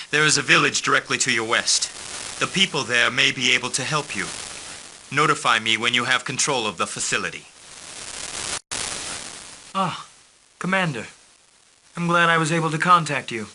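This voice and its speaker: A composed, formal male mercenary liaison from a game briefing. His voice is very bright and sharp, sits at a middle pitch, and stays measured and courteous. A faint hiss runs under the recording. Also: low-quality recording. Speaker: mission handler.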